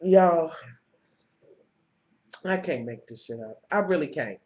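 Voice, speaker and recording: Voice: mid-range voice, animated, slow pace, roomy sound. Stuffed-up, profane recapper. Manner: profane, gossipy storytelling that calls the viewer honey. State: stuffed-up nose. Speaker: TV show reviewer. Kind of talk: casual episode recap vlog. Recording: slightly muffled lo-fi recording.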